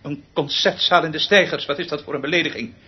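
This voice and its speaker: An actor playing a pompous, exasperated, tyrannical perfectionist conductor in a radio play, emphatic and theatrical. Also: male voice, on the high side, fairly even intonation, quick pace, lightly acted. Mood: indignant. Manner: theatrical, emphatic, swinging from muttering to bellowing. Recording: muffled, noisy old radio play recording.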